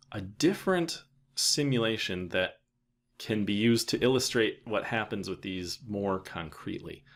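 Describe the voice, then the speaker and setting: A man with a fairly low voice presents a technical software tutorial. He talks casually and thinks aloud as he goes, leaving pauses as he works through each step. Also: gravelly, a little hiss or hum. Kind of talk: informal software tutorial narration.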